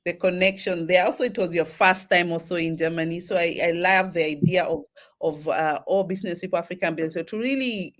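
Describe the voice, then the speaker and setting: A woman's voice: fairly low pitch, steady tone, fairly quick. A woman hosting an online talk show, encouraging her audience and often restarting her sentences.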